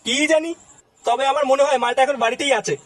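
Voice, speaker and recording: A man voicing an excitable, crude village sidekick in a comedy cartoon, whiny and profane. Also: very high-pitched, quick pace. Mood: eager. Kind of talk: exaggerated cartoon dubbing.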